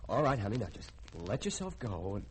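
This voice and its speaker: Glib, flirtatious lieutenant, acted by a man, very animated. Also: mid-range voice, a little fast, natural voice. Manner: coaxing, glib. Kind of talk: 1950s radio drama acting. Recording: noisy vintage radio recording.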